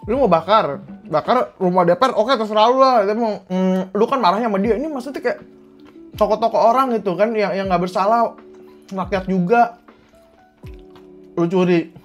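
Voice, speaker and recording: A young man from Indonesia, ranting angrily to camera as a vlogger, blunt and swearing often. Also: high-pitched. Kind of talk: heated commentary to camera.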